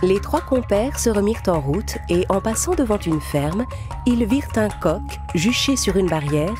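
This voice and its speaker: A woman's voice: mid-range voice, animated, normal speed. A woman reading scripted storybook narration for children as the narrator of a cartoon fairy tale. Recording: music clearly behind the voice.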